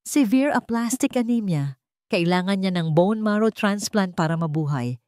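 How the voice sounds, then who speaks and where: A woman's voice: medium pitch, lively intonation, normal speed, a little mellow, no room sound, clean studio sound, lightly acted. A woman narrator voicing a desperate mother who pleads near tears for help. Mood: pleading. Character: desperate mother of a sick child.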